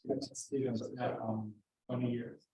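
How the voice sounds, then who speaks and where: A man's voice: medium pitch, little pitch movement, moderate pace, far from the mic, a crowd in the background. A man, the invited seminar speaker, giving brief, easygoing replies in small talk. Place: seminar room. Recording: dull, roomy sound.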